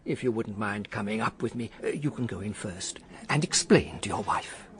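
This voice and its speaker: An actor playing a discreet, politely insistent hotel manager in a radio drama, formal and apologetic with small hesitations. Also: male voice, lowish voice, moderate pace, close to an everyday voice.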